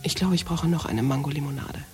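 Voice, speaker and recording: An actress playing a shy young woman who dictates her romantic wishes, hushed and hesitant, correcting herself. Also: deep voice, fast talker, music in the background, natural voice. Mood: dictating. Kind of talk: radio play acting.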